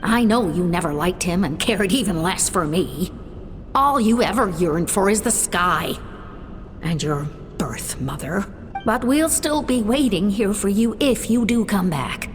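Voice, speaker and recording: An actress playing a sorrowful stepmother voicing her letter in a video game, gently and earnestly pleading. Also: medium pitch, animated, unhurried, natural voice. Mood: sad, pleading. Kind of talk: dramatic letter voice-over in a game. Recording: long reverb with music behind.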